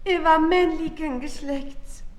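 An affected young lady with a very high voice. She speaks slowly and melodramatically, in a roomy old studio sound. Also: steady tone. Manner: lamenting, affected. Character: affected young woman, theatrical grief.

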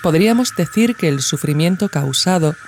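A woman hosting a podcast, reading a scripted essay in a measured, reflective way. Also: low-pitched, lively intonation, darkish tone, slight background noise.

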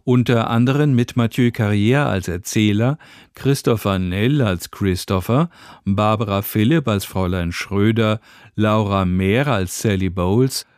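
A man's voice: fairly low pitch, a little mellow, clear, resonant tone, no echo, studio quality. A man working as a radio announcer, reading a scripted programme introduction.

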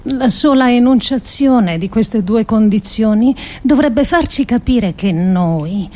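A woman performing a scripted radio reading in a measured, deliberate, declamatory way with pauses. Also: a little high, expressive, dark tone. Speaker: performer reading an adapted essay.

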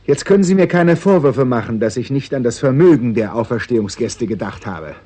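A man's voice: medium pitch, lively intonation, warm tone, husky. An actor playing a sly, self-satisfied male intelligence chief in a 1960s German radio play, wry and teasing. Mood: smug. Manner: wry, teasing, deliberately drawn-out.

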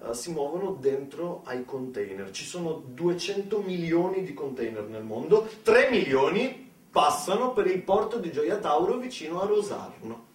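Distant roomy sound, Italian male stage storyteller, fairly high voice, satirical, colloquial, ironic asides. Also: big pitch swings, moderate pace. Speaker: storyteller in a one-man theatre monologue. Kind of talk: satirical narrative theatre monologue, conversational. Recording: echoey room, distant microphone.

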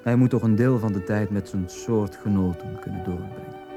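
A man's voice: lowish voice, moderate pace, vocal fry, music in the background. Reserved Flemish aristocratic father. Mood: calm, resigned. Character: stern, reserved small aristocratic father and tender husband. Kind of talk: radio drama acting.

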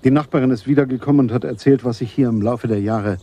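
A man's voice: medium pitch, quick pace, mellow, lightly acted. An actor in a German radio play as an opinionated police sergeant who explains his theories with sarcastic emphasis. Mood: confiding. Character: eager, opinionated police sergeant, man, theorizing about crime.

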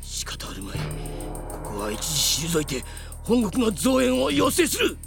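An arrogant army commander in a radio drama, pitched high for a male and swinging widely from haughty disdain to explosive barks. Music plays behind the voice. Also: huge pitch swings, measured pace, gravelly, exaggerated voice. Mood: stern, alarmed.

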